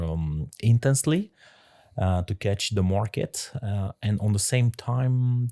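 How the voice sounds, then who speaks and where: A man from Romania, a sommelier guest answering interview questions in halting English with many fillers and laughs. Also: deep voice, vocal fry, dark tone. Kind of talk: casual interview talk.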